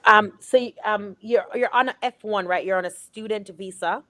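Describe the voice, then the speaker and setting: A woman's voice: medium pitch, moderate pace, pushed and bright, no room sound. A woman giving immigration advice to callers on a live show, encouraging and emphatic. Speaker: immigration advisor on a call-in show.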